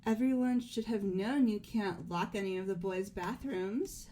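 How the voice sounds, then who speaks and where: A woman playing a puzzled female student with a soft tone in a fan-voiced game. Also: mid-range voice, unhurried, close to an everyday voice. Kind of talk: fan voice acting of a video game script.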